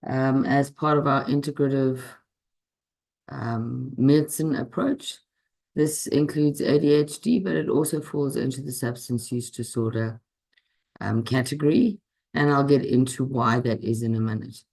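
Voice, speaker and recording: A woman with a hoarse voice from a cold, giving a measured online medical lecture with frequent pauses and ums, heard through a computer microphone on a video call. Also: very deep voice, steady tone, darkish tone, low-quality recording. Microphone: computer mic on a video call.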